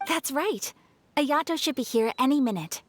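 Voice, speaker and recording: A woman's voice: sits high, animated, moderate pace, no echo. A woman voicing a gracious, refined young noblewoman in a video game, formal and courteous. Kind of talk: acted video game dialogue.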